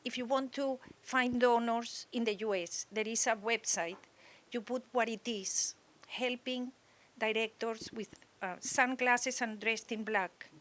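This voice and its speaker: A woman with a slightly high voice asks a question from the audience. She pauses often and searches for her words as she goes. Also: fairly even intonation, a bit bright. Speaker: audience member asking a question.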